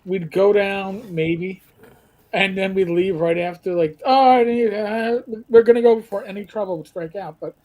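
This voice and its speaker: A man's voice: high-pitched, normal speed, darkish tone. A middle-aged man chatting casually as a live stream host, blunt and colloquial, often repeating his words. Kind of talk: casual chat.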